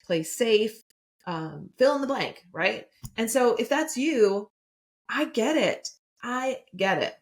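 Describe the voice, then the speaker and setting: A woman's voice: medium pitch, a bit bright. Woman, 50s, podcast host and coach; enthusiastic, chatty motivational delivery, mid-range voice. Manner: enthusiastic, chatty, frequent "right?". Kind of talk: solo podcast, motivational talk.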